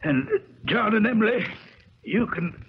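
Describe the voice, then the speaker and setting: An actor playing an ailing, gruff old mountain uncle in a radio drama, short of breath and halting. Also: male voice, sits high, full character voice. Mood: weak, resigned. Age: older. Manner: halting, broken phrases. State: breathless, weak. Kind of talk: 1970s radio drama acting. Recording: noisy, muffled 1970s radio recording with crackle.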